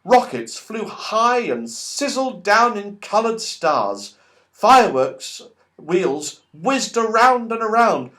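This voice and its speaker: A man reading a children's story aloud as an audiobook narrator, expressive and animated. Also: high-pitched, slow pace. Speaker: audiobook reader.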